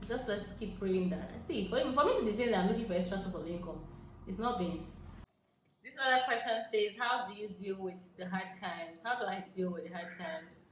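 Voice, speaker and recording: A woman's voice: medium pitch, brisk. Brief: woman, 20s, Nigerian accent, casual vlogger, laughs and drops into asides; roomy echo, light hiss. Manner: chatty, laughs often, drifts into soft asides. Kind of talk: casual Q&A chat to camera. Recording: echoey room with faint noise.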